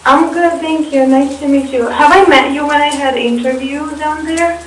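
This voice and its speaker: A woman from Russia, a musician answering interview questions in a relaxed, chatty way. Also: sits high, normal speed. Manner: relaxed and chatty, with frequent ums. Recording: echoey room, far from the mic, faint noise.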